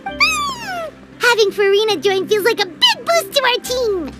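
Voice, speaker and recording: A woman's voice: extremely high pitch, wildly swooping pitch, unhurried, loud music behind the voice, full character voice. A woman voicing a small, chirpy, curious childlike fairy companion in a video game, full of exclamations. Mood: excited. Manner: exclaims, refers to self in third person.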